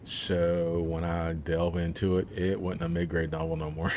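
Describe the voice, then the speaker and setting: Deep-voiced man chatting loosely. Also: monotone, measured pace, darkish tone. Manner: rambling, with frequent fillers and laughs. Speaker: author. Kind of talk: casual interview chat.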